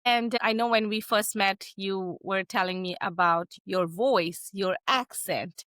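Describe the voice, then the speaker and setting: A woman's voice: mid-range voice, a little slow, a bit bright, no room sound, studio quality. A woman hosting an interview show, upbeat and encouraging, affirming her guest often. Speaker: podcast host.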